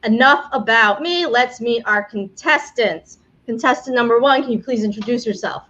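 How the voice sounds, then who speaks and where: A woman's voice: a little high, moderate pace, fairly bright tone, slight background noise. A woman with a New York accent hosting a casual live stream, chatty and rambling with frequent restarts. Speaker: game show host, immigration attorney. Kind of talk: casual livestream talk. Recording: dull lo-fi livestream audio.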